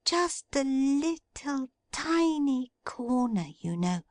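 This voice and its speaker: A woman voicing a cheerful young woman who teases her father in a bright, eager, playful way. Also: high-pitched, sing-song, slow, dry recording, pitched above the performer's own voice, exaggerated voice. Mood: gleeful.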